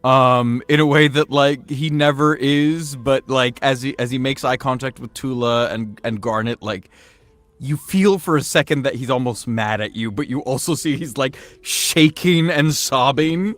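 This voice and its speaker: A man playing a tabletop game, describing his character's actions haltingly and repeating words as he improvises. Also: medium pitch, fairly bright tone, no room sound.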